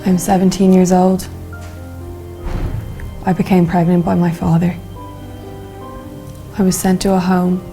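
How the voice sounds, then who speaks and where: Casting: wronged girl, 17, lowish creaky voice, subdued, halting testimony drama, music behind. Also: little pitch movement. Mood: subdued, hurt. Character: frightened, wronged seventeen-year-old girl recalling home birth. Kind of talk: dramatised first-person testimony.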